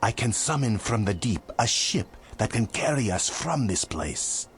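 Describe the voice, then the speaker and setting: A very low-voiced grave vizier sorcerer in a video game cutscene. He speaks formally and ominously, like a theatrical court mage, with faint ambient noise behind him. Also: very animated, measured pace. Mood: commanding, urgent.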